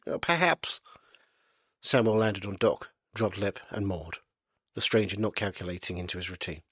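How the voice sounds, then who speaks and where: A man's voice: sits low, steady tone, moderate pace, slightly rough, no echo, highs cut off. A man reading aloud from his own novel in a plain, unpolished way. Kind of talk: storybook narration.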